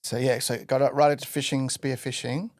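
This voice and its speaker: Relaxed, rambling man. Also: mid-range voice, fairly quick, no room sound, clean studio sound. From Western Australia. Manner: relaxed rambling storyteller with many fillers. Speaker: guest artist. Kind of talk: casual podcast interview.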